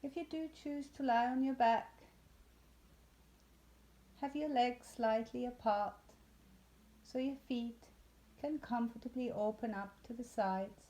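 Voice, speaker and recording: Slow, mellow woman guiding meditation. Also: a little high, steady tone. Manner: soothing, unhurried, with long pauses. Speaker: yoga nidra instructor. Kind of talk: guided meditation.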